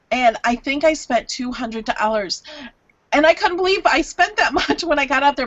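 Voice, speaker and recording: A woman's voice: a little high, fairly bright tone, dull audio. A woman co-hosting a live craft show, chattily sharing her own stories and tips. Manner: chatty, shares personal anecdotes. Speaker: co-host.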